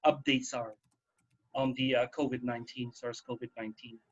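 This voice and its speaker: A man's voice: mid-range voice, flat delivery, moderate pace. A man hosting a casual, informative radio talk, explaining things and repeating terms. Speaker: radio host. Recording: dull, muffled band-limited sound.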